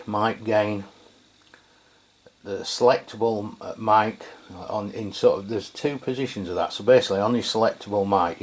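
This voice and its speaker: Male product reviewer, English accent, low voice, conversational and halting unscripted walkthrough. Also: a little mellow. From England. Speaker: radio reviewer, channel host.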